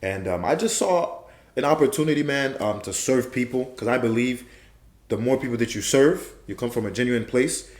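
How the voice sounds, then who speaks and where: A young man from Haiti, a guest on a podcast, talking in a relaxed, affirming way and repeating his key phrases. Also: medium pitch, moderate pace. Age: young adult. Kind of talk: casual interview chat. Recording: roomy echo.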